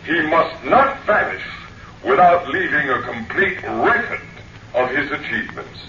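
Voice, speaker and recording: An actor playing a grandiose, solemn and persuasive orator in an old-time radio drama. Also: male voice, a little high, sing-song, unhurried, bright, forceful tone, a little hiss or hum, full character voice. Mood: solemn, rousing. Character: grandiose male orator recruiting people for a vast archive. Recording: distant, echoey, muffled old radio recording.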